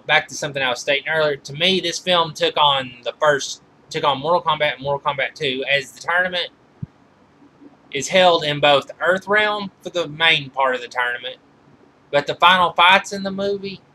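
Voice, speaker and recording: Bright-voiced man reviewing movies, noisy recording. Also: a little high. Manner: halting, often corrects himself mid-sentence. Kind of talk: informal film trivia commentary.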